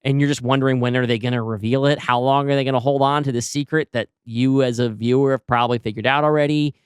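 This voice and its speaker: A man's voice: mid-range voice, steady tone, quick pace, smooth, dry recording, clean studio sound. A man co-hosting a podcast, talking casually about storytelling. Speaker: podcast co-host. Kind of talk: casual chat.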